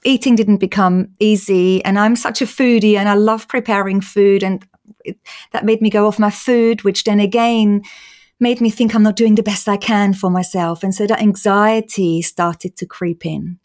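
An Austrian woman in her forties talks candidly as a guest, her accent clear throughout. Her manner is earnest and open, with sentences that flow quickly from one to the next. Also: mid-range voice, steady tone, darkish tone. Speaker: guest, patient advocate. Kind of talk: candid interview conversation.

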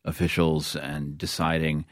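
A man hosting a radio interview, putting his questions in a conversational, musing and halting way. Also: extremely low pitch, level tone, no echo, clean studio sound.

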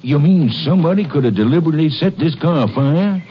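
A man's voice: fairly high pitch, lively intonation, fast talker, close to an everyday voice. An actor playing a plain-talking, incredulous man who questions emphatically in a 1950s western radio drama. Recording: dull old radio recording, faint noise.

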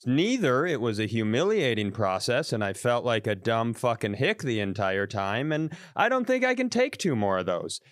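A man's voice: medium pitch, sing-song, normal speed, few pauses, no room sound, studio quality. A man co-hosting a podcast over a video call, telling a self-deprecating story in a rambling, casual way with lots of restarts. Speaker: podcast co-host. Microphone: podcast mic over video call.

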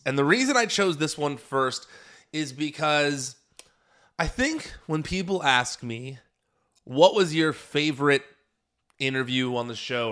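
A man's voice: medium pitch, a bit bright. A man hosting a podcast alone, introducing a best-of episode in an earnest, rambling way with frequent restarts. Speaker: podcast host.

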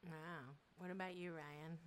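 A woman's voice: low voice, fairly even intonation, measured pace, slight background noise. A woman co-hosts a podcast in a loose, playful way and laughs often. Her talk is casual and chatty. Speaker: podcast co-host. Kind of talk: casual podcast chat and read ad.

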